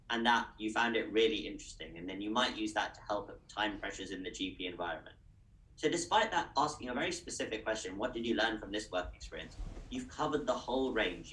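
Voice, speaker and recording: A man's voice: on the low side, a bit bright. A young British man explaining slides to students in a webinar, talking them through step by step on a video call. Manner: encouraging, direct address. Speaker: webinar speaker. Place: indoor room. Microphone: video call webcam. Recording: echoey room.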